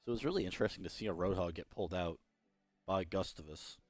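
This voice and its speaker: Young male esports caster, low hoarse voice, loose rambling play-by-play. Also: quick pace, a little mellow, faint music behind. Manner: rambling, self-correcting, chatty. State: losing his voice. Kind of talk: solo play-by-play commentary.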